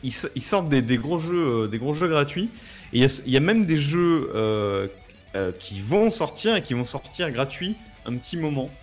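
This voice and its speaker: A man chats as co-host of a casual live show. His voice sits a bit high, and he speaks informally, hesitating and doubling words. Soft music runs underneath. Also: sing-song, moderate pace.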